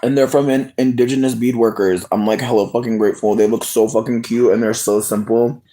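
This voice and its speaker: A person livestreaming casual chat with viewers, sassy and expressive with lots of swearing, talking while snacking. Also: medium pitch, brisk.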